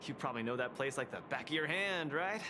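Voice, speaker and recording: An actor voicing a boisterous, eager young male fighting champion in a video game, with an excitable, cajoling delivery. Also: mid-range voice, fast talker, noisy background, natural voice. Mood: cheerful, eager. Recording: loud music under the voice.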